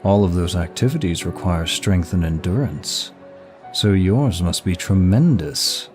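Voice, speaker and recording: A very low-voiced demon of lust acted by a man speaks slowly and smoothly over clear background music. He is courtly and flirtatious, paying compliments as if in a private audio roleplay. Every phrase is polite, measured and seductive. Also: expressive, darkish tone. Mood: curious, flirtatious. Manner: smooth, flirtatious, formal, unhurried. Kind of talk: intimate ASMR-style roleplay, one side of a dialogue.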